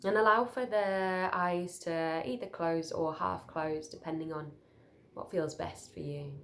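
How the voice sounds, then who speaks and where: Woman guiding meditation, with creaky voice. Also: lowish voice, fairly even intonation. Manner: soothing, gentle, unhurried phrasing with pauses. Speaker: meditation guide. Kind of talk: guided sleep meditation.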